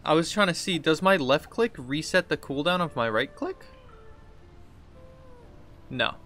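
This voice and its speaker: A man's voice: medium pitch, fairly bright tone. A man in his thirties giving casual, playful gameplay commentary and getting easily exasperated. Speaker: gaming streamer. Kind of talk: casual gameplay commentary with exaggerated read-outs. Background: game music.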